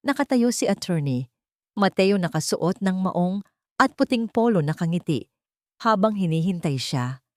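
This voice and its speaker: A woman narrates a romance story from a script. Her voice sits a little low for a woman, and the recording is dry and close, with very little room sound. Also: darkish tone, dry recording.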